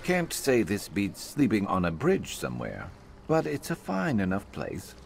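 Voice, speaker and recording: An actor playing a gruff, world-weary adventurer companion in a fantasy game, with a blunt, dry, sardonic manner. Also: male voice, lowish voice, wildly swooping pitch, unhurried, full character voice. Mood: blunt, guarded. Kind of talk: scripted fantasy game voice acting.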